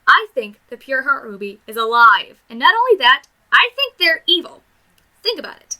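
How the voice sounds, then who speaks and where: A woman with a high, very bright voice delivers a scripted review. She speaks casually and emphatically, gushing with enthusiasm like an eager fan. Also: sing-song, moderate pace. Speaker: video reviewer.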